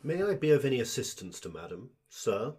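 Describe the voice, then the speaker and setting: A man's voice: medium pitch, very animated, a little fast, background noise, natural voice. A man playing an obsequious fungus creature who keeps shop through a host body, speaking in formal, over-polite patter in an audio drama. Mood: servile.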